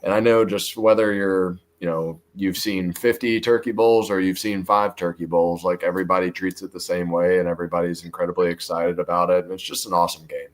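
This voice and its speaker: A man with an American accent talking in a sports interview as a high school football coach, earnest and enthusiastic. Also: flat delivery, fairly quick, hardly any pauses. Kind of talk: sports talk show interview.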